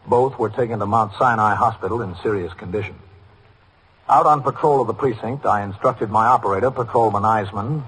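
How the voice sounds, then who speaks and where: An actor playing a calm, authoritative police captain in a 1950s radio drama, speaking in a measured, matter-of-fact way. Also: male voice, mid-range voice, breathy edge. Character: calm, authoritative police captain narrating his precinct's night. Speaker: narrator and lead actor. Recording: noisy old radio drama recording.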